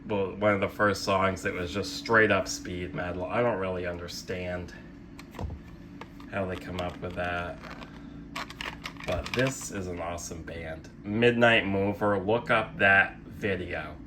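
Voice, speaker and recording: This is a young man with a low-pitched voice who takes his time as he talks. He hosts casually, rambling through a show-and-tell in a sardonic, self-deprecating way and swearing offhandedly. Some light background noise sits behind his voice. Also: steady tone, a little slow. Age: young adult. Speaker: host of a physical media collecting channel.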